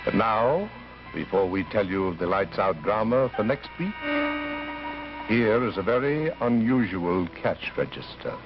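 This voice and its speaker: An actor playing a sardonic, gloating horror host on an old-time anthology broadcast. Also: male voice, lowish voice, expressive, measured pace. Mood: dry. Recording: muffled vintage broadcast. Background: music.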